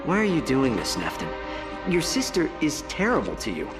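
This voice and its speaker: An actor playing a young, principled alien adventurer in a video game, speaking in a direct, earnest way. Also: male voice, mid-range voice, wildly swooping pitch, normal speed. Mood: stern. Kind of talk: animated game character acting. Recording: loud music over the voice.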